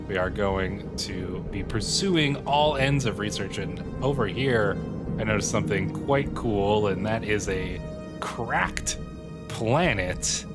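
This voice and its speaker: A man hosts a gaming video in a fairly low voice, with clear music playing underneath. He is sick with a cold and talks casually, explaining things in an unhurried, musing way as he narrates his roleplay. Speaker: YouTube gaming host. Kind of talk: casual narrated strategy game roleplay commentary.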